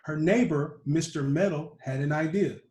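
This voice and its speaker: A man reading a children's picture book aloud as a storyteller, with animated emphasis. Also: a little high, moderate pace. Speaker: storytime reader. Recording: roomy echo.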